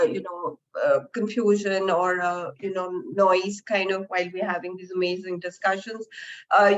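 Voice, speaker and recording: A woman moderating and opening a professional webinar, her voice lowered from a recent flu, speaking in a friendly way with frequent "uh" fillers. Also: lo-fi sound. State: recovering from flu, deeper voice.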